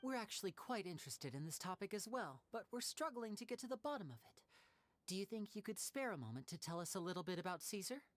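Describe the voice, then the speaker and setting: A woman's voice: mid-range voice, very animated, fast talker, no echo, close to an everyday voice. A woman voicing a polite, suave young male investigator in a video game. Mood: courteous.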